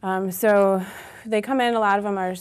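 A woman's voice: mid-range voice, steady tone, moderate pace, smooth. A woman in her thirties, a guest trainer, explaining things casually in an interview. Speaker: guest, gym owner and trainer. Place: small TV studio set, indoors.

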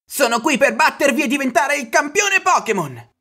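A woman's voice: sits high, big pitch swings, normal speed, fairly bright tone. A woman voicing a brash, demanding ten-year-old boy in a cartoon parody dub. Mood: boastful. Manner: insistent, cocky.

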